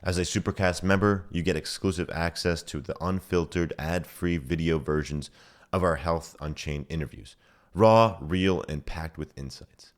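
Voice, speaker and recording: A man's voice: low voice, moderate pace, no room sound, clean studio sound. A man hosting a podcast, reading an upbeat intro and asking friendly interview questions. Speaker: podcast host.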